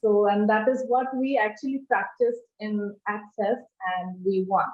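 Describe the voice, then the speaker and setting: A woman with a Bangladeshi accent answering interview questions in a halting, repetitive way. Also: medium pitch, steady tone, darkish tone. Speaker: interview guest, advertising creative. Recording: echoey room, tinny phone-like sound.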